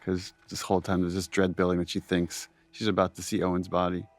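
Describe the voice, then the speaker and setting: A man's voice: low voice, completely flat delivery, brisk, music in the background. A man giving unscripted, reflective commentary as a game director, explaining the work as he goes. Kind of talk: unscripted behind-the-scenes commentary.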